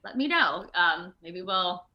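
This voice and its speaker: A woman speaking as a voice actor guest in a casual, chatty interview, expressive and thinking aloud as she goes. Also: lowish voice, a little slow, dull audio. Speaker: guest, video game voice actor. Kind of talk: casual animated interview chat. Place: remote video call.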